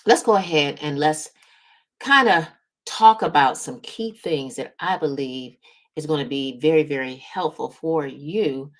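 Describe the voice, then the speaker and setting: A woman's voice: deep voice, unhurried. An American woman from the Midwest hosting a casual motivational livestream as a business coach, speaking straight to her audience and stressing key words. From Midwestern United States. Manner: emphatic, drawing out key words, checking in with "right?" and "y'all". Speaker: host, business strategist and coach.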